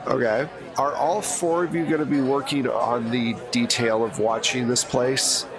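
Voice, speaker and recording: A man's voice: mid-range voice, steady tone, measured pace. Male game master, dry and teasing, crowd audible behind. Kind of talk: running a tabletop game.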